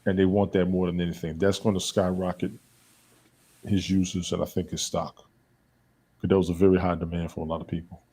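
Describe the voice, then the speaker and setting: Male guest, husky, low pitch, insistent and repetitive in casual chat. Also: little pitch movement, a little fast, warm tone. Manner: emphatic, repeats points.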